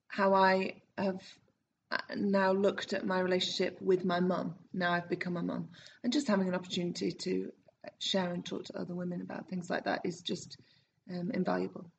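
An enthusiastic woman in her late thirties, effusive as she talks about her role. Her voice is a little low. The sound is muffled, with a faint hiss behind it. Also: vocal fry. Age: 30s. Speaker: actor in the cast. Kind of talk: casual group interview. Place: rehearsal room.

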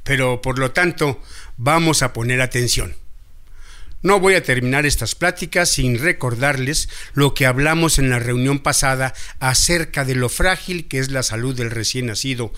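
An actor with a Mexican accent playing a patient, authoritative village doctor who gives orderly health lessons in a radio drama. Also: male voice, mid-range voice, moderate pace, sharp tone, lightly acted. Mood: firm, instructive. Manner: didactic, orderly.

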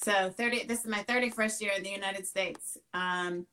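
A middle-aged woman, raised in the Netherlands, telling stories in an energetic, emphatic way as an interview guest. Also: medium pitch, normal speed. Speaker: educator and guest. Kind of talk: conversational interview answers.

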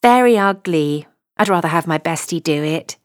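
A woman's voice: on the low side, lively intonation, moderate pace, no room sound, studio quality, close to an everyday voice. A woman voicing a snobbish, gushing young bride, a little spoilt and breathless. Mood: chatty.

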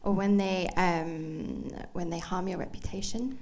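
A woman interpreting a sermon phrase by phrase, with fillers and quick self-corrections. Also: on the low side, steady tone, darkish tone. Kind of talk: live consecutive interpreting of a sermon.

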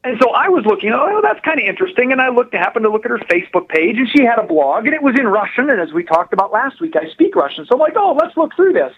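A high-pitched male voice comes through a dull, narrow telephone line. He is a guest on a talk show, telling his story conversationally and explaining details with animated, precise emphasis. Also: quick pace, hardly any pauses, slightly rough. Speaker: political blogger, phone-in guest.

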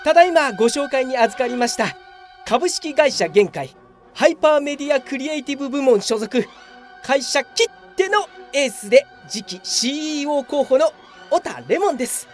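A woman's voice: high-pitched, expressive, normal speed, faint music behind, some chatter behind. A woman playing a brazen, oblivious, self-satisfied veteran office worker with a pompous manner in a comedic radio drama. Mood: brazen showmanship. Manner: pompous, grandly self-promoting.

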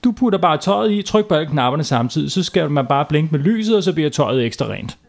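Man putting on a boastful little boy, high eager voice, insistent, storytelling. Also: animated, gravelly. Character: cocky know-it-all boy.

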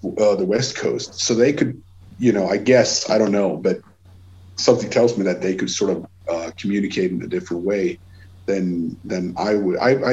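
A Swedish man in his forties speaks English with a Swedish accent, his voice sitting fairly low. He chats casually as a guest musician, circling back and restarting his sentences. The sound is dull and lo-fi, like a video call. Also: darkish tone. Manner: rambling, many restarts and repeated words. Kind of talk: casual conversation.

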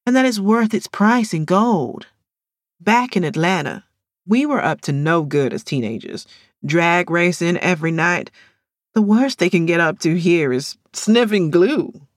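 A woman voicing a brash, playful American woman in an audiobook, loud and argumentative, with an American accent. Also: lowish voice, no echo, studio quality. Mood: wry. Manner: loud, protesting, teasing.

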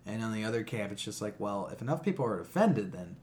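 A man's voice: fairly low pitch, sing-song, fast, darkish tone. A man co-hosting a podcast, speaking casually in an exasperated, emphatic way with heavy swearing. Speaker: podcast co-host. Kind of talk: casual, profane rant and banter.

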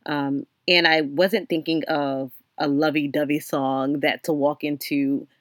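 A young adult woman hosting her own podcast, talking alone in an earnest, reflective way with frequent ums and restarts, her voice slightly hoarse. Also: low-pitched, level tone, unhurried, no echo, studio quality. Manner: many ums and restarts, faith-centered, earnest. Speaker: podcast host. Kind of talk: reflective solo podcast monologue.

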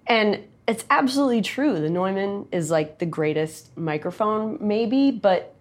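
A woman with a nasal, loud voice talking candidly and chattily in a casual interview, with quick asides. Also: medium pitch, expressive. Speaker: songwriter and recording artist guest.

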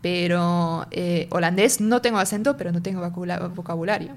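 A woman's voice: on the low side, a little fast. Woman explaining her work. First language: German. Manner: explanatory, searches for words as she goes. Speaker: marketing and sales lead, interview guest. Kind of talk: casual interview conversation.

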